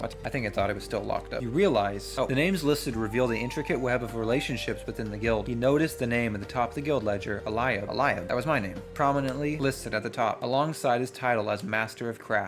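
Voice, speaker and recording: A man playing and narrating a role-playing game, reading story passages aloud and thinking out loud in an excitable way. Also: medium pitch. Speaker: solo role-playing game player and streamer. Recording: music playing behind the voice. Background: music.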